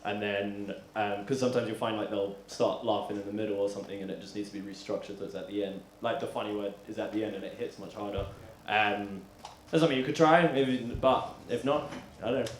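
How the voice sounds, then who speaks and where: A man's voice: fairly low pitch, fairly even intonation, fast. A man hosting a live comedy show, speaking casually and informally with some swearing. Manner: informal, sweary, laughs often. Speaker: comedy show host and judge. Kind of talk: casual feedback and announcing. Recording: echoey room, faint background noise.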